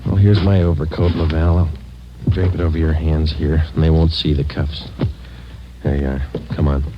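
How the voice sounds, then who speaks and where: A man's voice: very deep voice, normal speed. An actor playing a stoic American police sergeant who narrates his case tersely in a 1950s radio crime drama. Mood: terse, firm. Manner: terse, matter-of-fact, deadpan. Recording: dull vintage radio recording, loud music.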